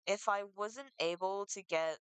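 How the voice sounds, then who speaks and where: Earnest teen, bright crisp voice. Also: mid-range voice, no room sound. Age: teens. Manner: halting, full of "like" and restarts; earnest and emotional. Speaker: vlogger. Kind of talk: casual confessional monologue.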